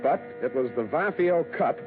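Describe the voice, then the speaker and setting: An American actor playing a wisecracking, hard-boiled private detective in a deadpan, sardonic 1940s radio style. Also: male voice, a little high, normal speed, natural voice. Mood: sardonic, tough. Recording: tinny band-limited old radio broadcast, music.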